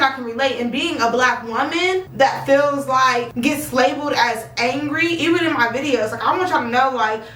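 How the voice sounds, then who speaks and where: A young woman talking bluntly in a casual vlog, swearing freely and projecting a loud voice. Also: sits high. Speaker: vlogger. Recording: echoey room, voice a bit far from mic.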